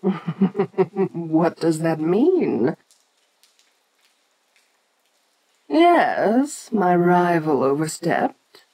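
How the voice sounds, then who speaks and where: A woman playing an ancient, imperious kaiju giantess in an audio roleplay, speaking formally and with deliberate, musing condescension. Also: on the low side, dark tone, heavily acted, poor audio. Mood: curious, probing. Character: imperious ancient female kaiju giantess, haughty, curious, domineering. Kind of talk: audio roleplay addressed to the listener.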